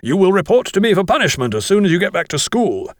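A man voicing a stern, short-tempered schoolmaster with gruff, emphatic, brusque delivery in a storybook. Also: high voice, big pitch swings, fast, no room sound, studio quality, pitched above the performer's own voice. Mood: stern, accusing.

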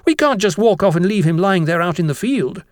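A man's voice: sits high, lively intonation, brisk, dry recording, studio quality, voice pushed up. A man voicing an eager, earnest British schoolboy, polite and pleading, in an audiobook. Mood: dismayed pleading. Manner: breathless, earnest, deferential. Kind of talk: audiobook character voice.

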